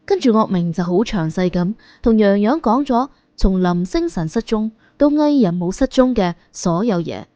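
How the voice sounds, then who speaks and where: A woman's voice: mid-range voice, lively intonation, normal speed, hardly any pauses, mellow, dry recording. A woman narrating an audiobook in a colloquial storytelling style.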